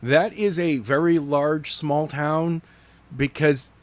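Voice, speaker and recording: A man's voice: a little high, fairly even intonation, slow pace. A man co-hosting a casual podcast, talking in a blunt, teasing way. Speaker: podcast co-host. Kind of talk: casual chat.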